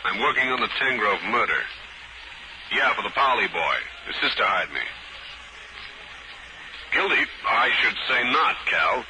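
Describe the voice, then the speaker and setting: A man's voice: medium pitch, lively intonation, measured pace, long pauses, lightly acted. An actor playing a tough, cool private detective who narrates his own case in a 1950s radio drama. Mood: brisk. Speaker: lead character and narrator. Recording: very noisy old radio recording.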